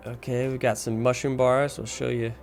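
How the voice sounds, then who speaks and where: A man's voice: medium pitch, flat delivery, normal speed, gravelly. A man casually talking through a video game as its guide, explaining his choices and thinking aloud as he plays. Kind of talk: casual walkthrough commentary while playing. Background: music.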